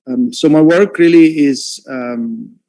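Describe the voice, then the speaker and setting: A man from Egypt, an artist, talking about his work on a livestream call, often pausing with fillers and restarting his sentences. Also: on the high side, mellow, no echo, rough recording. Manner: hesitant, many fillers and restarts. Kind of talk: livestream talk. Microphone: headphones on a call.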